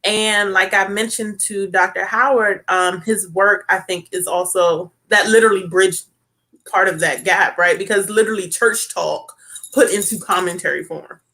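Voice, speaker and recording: A woman hosts a relaxed talk show, speaking with a bright, forward tone. She chats casually, tossing in fillers and asking "right?" as she goes. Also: mid-range voice, moderate pace. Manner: chatty, with many fillers and tag questions. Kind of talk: casual chat.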